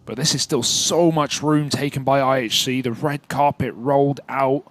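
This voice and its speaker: A man giving live esports play-by-play and analysis in an animated, emphatic way. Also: medium pitch, normal speed, dry recording. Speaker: esports commentator.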